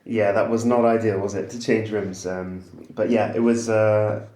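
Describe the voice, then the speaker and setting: A man with a lowish voice talks as a podcast guest in a casual, easygoing way, often restarting his sentences. A noticeable echo from the room surrounds his voice. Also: little pitch movement, normal speed, warm tone, slight background noise. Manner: hesitant, many restarts, easygoing laughs. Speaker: recording studio owner, guest. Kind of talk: casual interview chat. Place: host's house. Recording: roomy echo.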